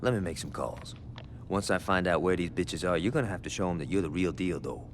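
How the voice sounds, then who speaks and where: An actor playing a cocky, foul-mouthed gang lieutenant in a video game cutscene, talking with profane wisecracks. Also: male voice, deep voice, brisk, slight background noise. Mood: scheming. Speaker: game character.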